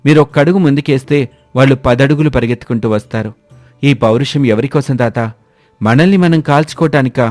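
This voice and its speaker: A man voices an educated young man in a moral tale. He reasons earnestly and preachily at medium pitch, with light music behind him. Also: a little fast, a little mellow, no echo, natural voice. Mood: earnest pleading. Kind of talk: dramatised moral-story dialogue.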